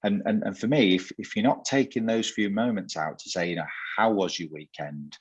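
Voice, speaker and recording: A man's voice: fairly low pitch, brisk, slightly rough, muffled recording. A man hosting a conversational interview, earnest, often repeating words as he builds a point. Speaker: host.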